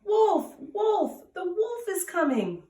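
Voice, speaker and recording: A woman voicing a mischievous young shepherd boy from a storybook, calling out loudly and urgently. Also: very animated, slow, heavily acted. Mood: shouting alarm. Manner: loud, high, urgent calling. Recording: roomy echo, distant from mic.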